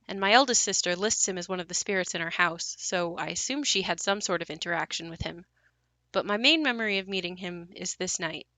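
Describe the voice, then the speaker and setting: A woman's voice: fairly low pitch, pushed and bright, no room sound. An actress playing a young woman who recalls her haunted family home in a confiding, wry, matter-of-fact monologue for an audio play. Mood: calm, wistful. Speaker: narrator and lead of an audio play. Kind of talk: first-person dramatic monologue.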